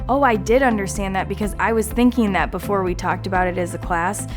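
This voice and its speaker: A woman's voice: mid-range voice, fairly even intonation, fairly quick. A woman teacher speaking in a testimonial interview. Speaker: classroom teacher. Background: background music.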